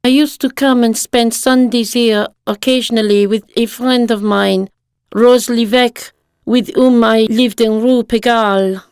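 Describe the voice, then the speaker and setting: Woman acting a candid wife in her fifties, fairly high voice, composed, earnest, dry sound, story monologue. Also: steady tone, measured pace, darkish tone, smooth, no echo. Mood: fond reminiscence. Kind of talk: dramatised short story monologue.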